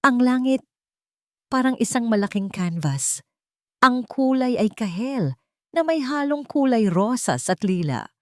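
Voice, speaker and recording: A woman voicing a warm, hopeful young female caregiver in a romance audiobook, tender and earnest. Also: on the high side, lively intonation, a little slow, pauses often, dry recording, clean studio sound, pitched above the performer's own voice.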